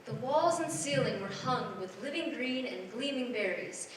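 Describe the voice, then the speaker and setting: A woman's voice: on the high side, expressive, moderate pace, slight background noise. A woman narrating a 1940s-style radio play, describing scenes in a listing manner. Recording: echoey stage from far off.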